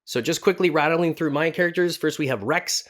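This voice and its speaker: A man's voice: a little high, fairly quick, a bit bright. A man hosting a tutorial in a chatty, self-deprecating way, like a working voice actor talking to viewers. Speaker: video host and voice actor. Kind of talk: casual scripted tutorial to camera.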